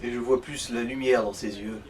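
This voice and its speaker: A man's voice: medium pitch, steady tone, normal speed, darkish tone. A man chatting casually as a let's play co-host, wry and given to swearing. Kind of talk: casual gaming chat. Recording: distant mic with background noise.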